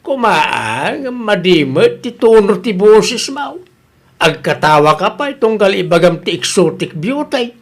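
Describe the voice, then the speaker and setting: A man's voice: high-pitched, sing-song, a little slow, full character voice. A man with a Filipino accent playing a father who chides and banters with his grown child in a comedic radio drama. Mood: chiding.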